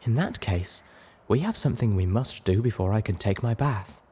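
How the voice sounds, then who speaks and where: A man voicing an adult female unicorn, refined, caring and forceful, in a storybook reading. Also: fairly low pitch, lively intonation, normal speed, breathy edge. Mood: gentle, encouraging. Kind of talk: audiobook character voice.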